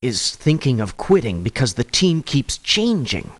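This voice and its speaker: A proud, aggrieved soldier of fortune for a strategy game. He speaks slowly and deliberately, with lively swings of pitch and a wry edge. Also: no echo. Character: male hired mercenary, proud, aggrieved, wry. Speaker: mercenary character in a strategy game. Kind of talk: video game voice clips, short barks.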